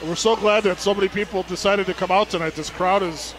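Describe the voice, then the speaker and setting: A man's voice: high voice, steady tone, fairly quick, background noise. A man, a team president, giving an upbeat, casual live interview as a guest at a hockey arena.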